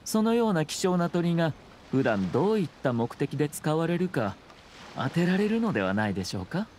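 Slyly polite young nobleman, lively pitch. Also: a little fast. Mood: teasing, sly. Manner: polite, formal, veiled sarcasm. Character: refined young nobleman commissioner, calm, slyly mocking. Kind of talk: Japanese anime game voice acting. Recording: game dialogue over ambient scene noise. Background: game scene ambience.

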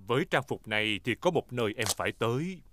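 A man's voice: mid-range voice, lively intonation, quick pace, a little hiss or hum, natural voice. A man voicing a big, jolly, boastful father pig in a cartoon dub. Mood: proud, affectionate. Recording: loud music under the dialogue.

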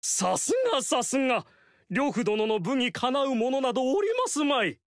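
An actor voicing a flamboyant, fawning court strategist in a video game, speaking in a theatrical, courtly way and repeating phrases for emphasis. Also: male voice, extremely high pitch, expressive, moderate pace, no echo, clean studio sound. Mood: flattering. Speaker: game character.

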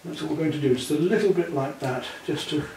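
A man's voice: on the high side, quick pace, a little mellow. A man in his sixties patiently teaching a student to paint, giving reassuring step-by-step instructions. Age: 60s. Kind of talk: hands-on demonstration to a student. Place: living room at home, indoors. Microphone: camera a few feet away. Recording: roomy, distant mic with background noise.

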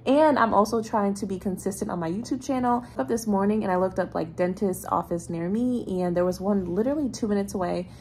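A young woman in her twenties chatting casually to a phone camera in her apartment, telling stories with an animated, chatty manner and sounding a little congested. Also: mid-range voice, quick pace, hardly any pauses, slight background noise. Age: 20s. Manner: chatty, animated storytelling with laughs. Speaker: vlogger. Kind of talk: casual vlog chat to camera. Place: bright apartment room with ceiling fan. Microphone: phone camera held low, close.

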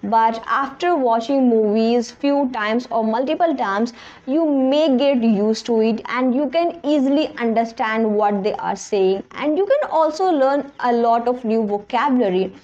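A woman's voice: fairly high pitch, a little slow, a little mellow, dull audio. Young woman, English not her first language. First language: Hindi. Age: young adult. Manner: earnest, plain and direct. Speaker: English-learning vlogger. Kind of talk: casual talk to camera. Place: small bedroom indoors. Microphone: camera in front at close range.

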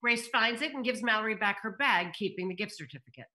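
A woman's voice: mid-range voice, expressive, fast, bright, forceful tone. A woman reading screenplay directions aloud at a table read over a video call. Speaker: stage-direction reader. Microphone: computer video call.

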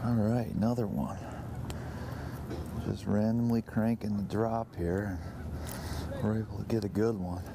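A man in his thirties talking casually while fishing from an open boat on a river, getting excited when fish bite, picked up by a camera on the boat. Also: lowish voice, measured pace, creaky, long pauses. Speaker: fishing vlogger. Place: open bass boat on a wide river outdoors. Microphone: boat-mounted action camera. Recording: outdoor wind and boat noise. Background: boat and water noise.

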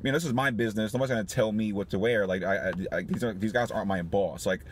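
A man in his thirties talking casually in a parked car, animated and laughing often, with many restarts. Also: lowish voice, fast, a little hiss or hum. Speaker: small business owner vlogger. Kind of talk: casual motivational vlog. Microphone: phone camera on dashboard.

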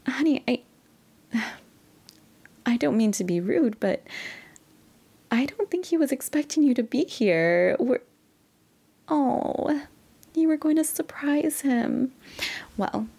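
Doting middle-aged mom for ASMR roleplay, woman performer: soft, mellow, breathy, unhurried, tender and a little hesitant. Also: mid-range voice. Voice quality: breathy, often near a whisper. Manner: unhurried, affectionate, hesitant, with soft sighs and chuckles. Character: doting middle-aged mother, sweet and nostalgic. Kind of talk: intimate ASMR-style roleplay monologue.

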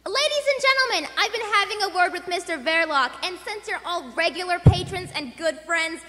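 An actress playing a practical, exasperated wife, brisk and firm, in old radio-play style. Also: female voice, very high-pitched, animated, pushed and bright, heavily acted. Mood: firm, annoyed. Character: practical, exasperated wife who runs a cinema box office. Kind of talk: 1940s radio acting. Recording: echoey theater hall.

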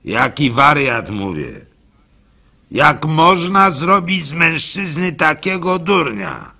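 A man's voice: a little high, sing-song, slow, gravelly, sharp tone, heavily acted. A man playing a gullible, plaintive village fool, telling his misfortunes in a folksy, rueful, theatrical radio monologue. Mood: aggrieved. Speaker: radio drama actor. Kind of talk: first-person dramatic monologue storytelling.

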